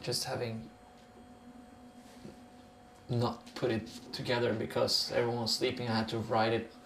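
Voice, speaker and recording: A man's voice: medium pitch, completely flat delivery, measured pace, creaky, echoey room, background noise. A man with a Norwegian accent talking casually in an interview, musing in a rambling, sweary way. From Norway.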